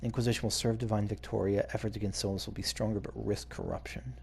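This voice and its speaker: Gravelly, low-voiced man thinking aloud. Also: level tone, fast, a little mellow, slight background noise. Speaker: gaming video host. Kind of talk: casual playthrough commentary.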